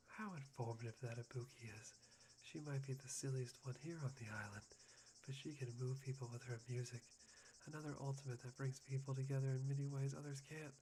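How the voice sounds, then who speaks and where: A man playing a soft-spoken, eerily polite young man obsessed with hope, musing breathily in an ASMR roleplay. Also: mid-range voice, a little hiss or hum, close to an everyday voice, low-quality recording. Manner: breathy, polite, musing, often near whispering.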